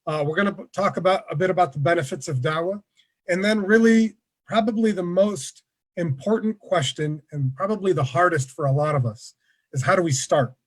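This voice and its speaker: A man presenting an online workshop over a video call, relaxed and conversational, with many fillers. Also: sits high, fairly quick. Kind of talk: casual online lecture.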